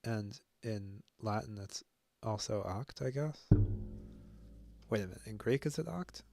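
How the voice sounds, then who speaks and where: A young man thinking aloud as he works through a language on his own, musing and joking at his own expense, halting often with fillers. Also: fairly low pitch, gravelly, darkish tone, faint music behind. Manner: musing, self-deprecating, halting with many fillers. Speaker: language-learning video host. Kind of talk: thinking aloud while self-studying.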